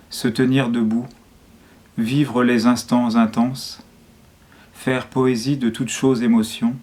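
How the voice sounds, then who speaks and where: A man reading a poem in a measured, solemn way, phrase by short phrase. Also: medium pitch, a little mellow. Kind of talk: poetry reading.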